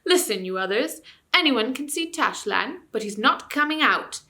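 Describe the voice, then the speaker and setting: A woman voicing a scheming male ape who jeers and mocks the crowd of animals loudly. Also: lively intonation, moderate pace, full character voice. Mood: mocking. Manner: loud, mocking and shrill.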